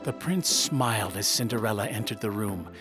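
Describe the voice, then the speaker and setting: A man narrating a scripted children's fairy tale in an expressive, dramatic storytelling manner. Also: lowish voice, moderate pace. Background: music.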